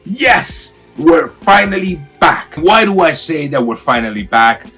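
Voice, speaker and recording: A raspy-voiced man with a cold, from Miami, hyping up a casual clothing unboxing for his viewers. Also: on the high side, sing-song, a little slow, pushed and bright, soft background music. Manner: hyped, slangy, talks straight to viewers. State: has a cold. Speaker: sneaker and clothing vlogger. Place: home room.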